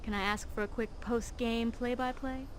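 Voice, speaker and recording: A woman's voice: a little high, fairly even intonation, normal speed, natural voice. Woman acting a teasing flirt over background noise. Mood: flirty, playful. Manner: teasing, coy. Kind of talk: video game voice acting.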